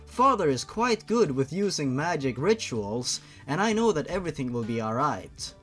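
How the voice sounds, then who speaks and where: Caring, proper-spoken sister in a storybook, performed by a man, highish voice over music. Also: very animated, normal speed. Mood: caring, soothing. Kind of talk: storybook character reading.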